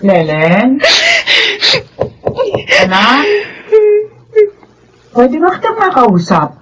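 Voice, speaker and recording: An actress playing a concerned middle-aged mother, gentle and probing, in a Filipino radio drama. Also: medium pitch, big pitch swings, extremely slow, a little hiss or hum, full character voice, low-quality recording.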